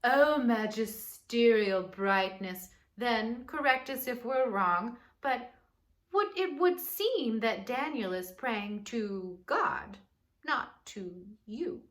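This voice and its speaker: Woman acting a pompous, scheming royal flatterer, slow-spoken. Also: a little high. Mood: fawning, pompous. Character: scheming, fawning male royal helpers, oily flatterers.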